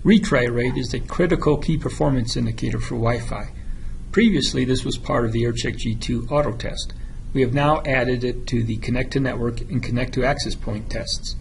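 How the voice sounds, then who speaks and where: A man with a creaky, slightly gravelly voice narrates a product tutorial in a methodical, instructional way. His pitch sits in the middle range for a man. There is faint noise behind him. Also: moderate pace, low-quality recording. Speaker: product demonstrator. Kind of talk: scripted software tutorial narration.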